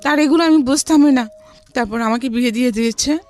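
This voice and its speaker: A woman's voice: high-pitched, quick pace, a little mellow, soft background music. A woman with a Bangladeshi accent telling her personal story as an interview guest, often relaying what others said.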